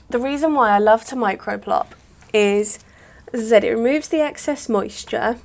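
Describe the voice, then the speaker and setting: Lady in her 30s doing a chatty hair vlog at home, bit croaky, rambles a lot. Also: fairly high pitch. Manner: chatty, rambling, lots of "sort of". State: slightly croaky voice. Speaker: hair vlogger. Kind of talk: casual how-to vlog.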